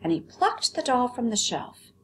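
A woman with an American accent, a teacher explaining words and reading a story aloud with clear phrasing for children. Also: fairly high pitch, big pitch swings, moderate pace, slight background noise. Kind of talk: vocabulary lesson and expressive story read-aloud.

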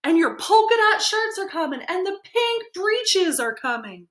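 Woman putting on a shrill, bellowing colonial town crier. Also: sing-song, a bit bright, reverberant, heavily acted. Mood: loud, urgent. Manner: booming, drawn-out calling. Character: loud, bellowing colonial man shouting warnings.

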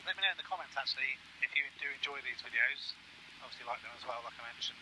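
A man's voice: medium pitch, very fast, slight background noise, rough recording. A man, perhaps in his thirties, giving hesitant, casual commentary as he flies a light aircraft on a headset mic. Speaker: private pilot and vlogger. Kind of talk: casual commentary while flying. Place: small light aircraft cockpit in flight. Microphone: aviation headset boom mic. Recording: loud engine drone, cockpit headset audio. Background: aircraft engine and radio.

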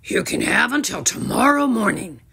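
A woman voicing a stern, exasperated king and father in a storybook, raspy, scolding and commanding. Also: medium pitch, big pitch swings, moderate pace, background noise, pitched above the performer's own voice.

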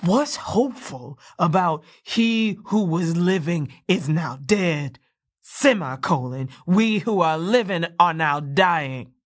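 A woman playing a bitter, blunt, hard-drinking dying woman in an audio play, with gruff, curt, folksy delivery. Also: on the low side, expressive, slow. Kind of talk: naturalistic audio play acting.

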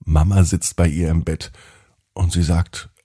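A man telling a scripted bedtime story to children as podcast host, in an expressive storytelling manner. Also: low voice, unhurried, husky, no room sound, studio quality. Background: music.

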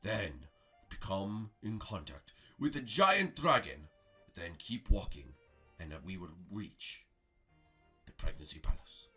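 Pompous prophetic guide acted by a man, low and raspy, solemn and touchy, for a homemade adventure skit. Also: sing-song, sharp tone, soft background music, heavily acted. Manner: pompous, slow proclaiming. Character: self-important mystical male guide, solemn and easily offended.

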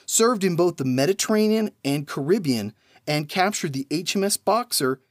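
A man hosting a trivia podcast, reading numbered questions and then giving each answer after a pause, in a measured way. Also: sits high, expressive, no room sound, studio quality.

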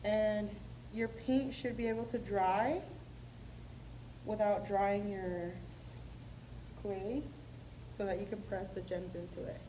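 A woman's voice: mid-range voice, fairly even intonation, takes it slowly, long pauses, dark tone, slight background noise. A young woman chattily explaining a craft step by step in a casual tutorial, slightly muffled by a face mask. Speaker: craft video host. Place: indoor room with decorated backdrop.

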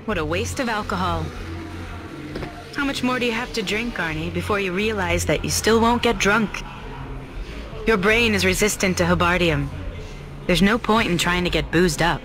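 A woman's voice: medium pitch, normal speed, pauses often, a crowd in the background, natural voice. An actress playing a manipulative woman cajoling her former lover in a video game, with a knowing, coaxing manner. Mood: teasing. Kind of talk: video game cutscene acting.

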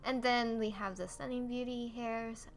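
A woman's voice: fairly high pitch, fairly even intonation, unhurried. A woman hosting a game guide video, explaining things in a casual voice-over.

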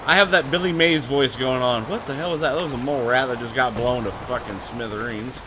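A man streaming live gameplay, talking loud and excitably, with a booming voice, cursing at the game and answering chat. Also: brisk, background noise. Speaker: gaming streamer.

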